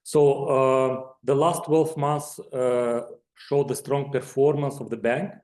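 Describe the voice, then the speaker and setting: A man with a dark, mellow voice delivers an earnings call presentation as a finance executive. His pitch sits in the middle for a man. The audio is clearly roomy and echoing. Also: steady tone, takes it slowly. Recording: echoey conference call audio.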